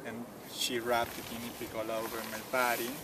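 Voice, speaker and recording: A man's voice: medium pitch, fairly quick, background noise. Man telling a story outdoors. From Peru, Cusco countryside. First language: Quechua. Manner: relaxed, frequent "you know", simple phrasing. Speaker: tour guide. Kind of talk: casual storytelling interview. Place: outdoors at a mountain site. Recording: distant outdoor mic with loud ambience.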